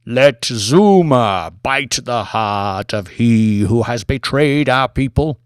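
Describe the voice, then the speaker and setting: Audiobook creature: male reader as a vengeful giant serpent, very slow, ominous, resonant, menacing. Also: lively intonation, clear, resonant tone, no echo, clean studio sound. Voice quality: deep, resonant. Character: menacing giant talking snake, deep and vengeful. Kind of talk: audiobook character speech.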